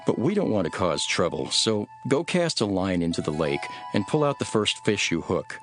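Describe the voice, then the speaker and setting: An actor playing an authoritative, earnest holy teacher in a dramatised scripture recording. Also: male voice, deep voice, big pitch swings, normal speed, a bit bright. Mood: calm, instructing. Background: music.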